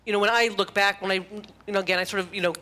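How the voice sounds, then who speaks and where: A woman's voice: mid-range voice, fairly even intonation, racing, bright, forceful tone. A woman rabbi asking a guest a long question, searching for words with many "you know"s.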